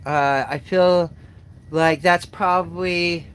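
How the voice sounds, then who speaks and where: A man with a stuffed-up nose from a cold, hosting a spiritual livestream, speaking slowly and haltingly with many fillers, then reading a passage aloud. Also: fairly high pitch, steady tone, a bit bright, clear, resonant tone. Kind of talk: slow reflective spiritual talk and reading aloud.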